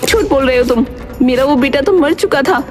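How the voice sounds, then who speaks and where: A woman's voice: sits high, a little fast, soft background music, voice pushed up, lightly acted. A woman voicing an emotional, fiercely protective mother in a story drama, speaking emphatically. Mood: accusing.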